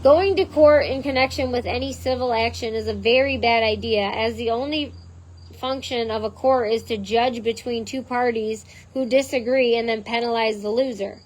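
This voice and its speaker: A woman with a hoarse voice reading a text aloud and breaking off into chatty side notes, as the host of her own channel. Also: on the high side, fairly even intonation, moderate pace, poor audio. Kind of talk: reading aloud with casual asides.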